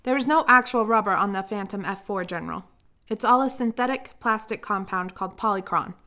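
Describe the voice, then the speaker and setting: Woman acting a crisp, bright radio-play announcer. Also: mid-range voice, a little fast. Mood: matter-of-fact. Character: crisp female announcer and military investigator.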